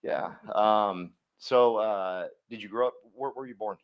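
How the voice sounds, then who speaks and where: A man coaching a live workshop audience, energetic and folksy, constantly quizzing the room and drawing answers out of people. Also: on the low side, measured pace, lo-fi sound. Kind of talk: interactive seminar teaching.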